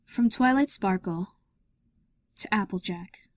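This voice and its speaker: A woman's voice: mid-range voice, slow, no room sound, clean studio sound. A woman narrating a scripted story in a dramatic reading style.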